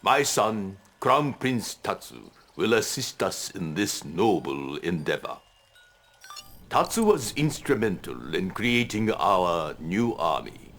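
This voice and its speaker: A grave, stern emperor. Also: male voice, sits low, big pitch swings, a bit bright, exaggerated voice. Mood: solemn. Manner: slow, grave and ceremonial. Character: stern aging male emperor, solemn warlord.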